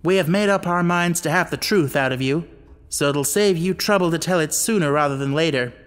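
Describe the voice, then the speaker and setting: A man's voice: on the high side, a little fast, clear, resonant tone, big-room sound, close to an everyday voice. A man voicing a stern, commanding baronet in an audiobook mystery, blunt and indignant as he questions. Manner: blunt, demanding, forceful questioning.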